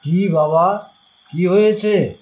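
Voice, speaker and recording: An actor playing a dutiful, patient grown son in an audio story, answering briefly and deferentially. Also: male voice, sits high, slow. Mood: concerned. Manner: gentle, deferential, brief replies. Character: dutiful, patient grown son soothing his old father. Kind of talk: Bengali audio story acting.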